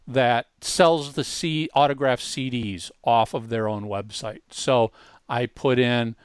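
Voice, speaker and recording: A man presents an informal online tutorial as host. He explains each step in a casual, conversational way, with a mid-pitched voice. The sound is dry, with no room to it. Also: steady tone, takes it slowly, clear, resonant tone, dry recording. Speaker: host demonstrating a music marketing tool. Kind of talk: casual screen-share tutorial.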